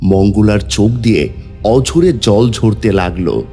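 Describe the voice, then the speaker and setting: A male narrator reads a scripted story dramatically. His mid-pitched voice moves with lively intonation, and faint music plays behind him. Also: unhurried.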